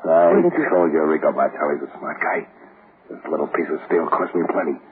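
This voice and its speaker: An actor playing a tough, scheming convict in a 1940s radio drama, with gruff slangy talk. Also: male voice, extremely low pitch, fast talker. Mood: tense, gruff. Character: tough, scheming male convicts plotting a prison escape. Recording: noisy, muffled 1940s radio recording.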